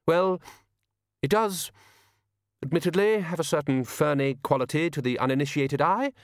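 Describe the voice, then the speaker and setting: A man's voice: fairly high pitch, moderate pace, no echo, clean studio sound, voice pushed up, lightly acted. A man voicing a cold, aloof male frost spirit who speaks in a cool, precise and slightly wounded way.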